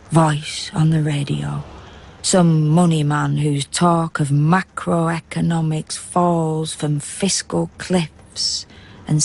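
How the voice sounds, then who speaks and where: A woman narrating a poetic radio drama in a measured, hushed, deliberate way, as a grave observer of men sleeping rough. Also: low voice, exaggerated voice. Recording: faint background noise and ambience.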